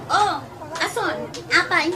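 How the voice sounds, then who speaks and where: A woman's voice: extremely high pitch, takes it slowly, noisy background. A woman playing a snooty, boastful, newly promoted supervisor in an Ilocano comedy radio drama, with an Ilocano accent. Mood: picky. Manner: haughty, fussy.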